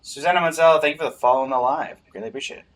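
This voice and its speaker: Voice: medium pitch, racing, slight background noise. A game streamer giving casual live reaction commentary, with offhand, dry asides.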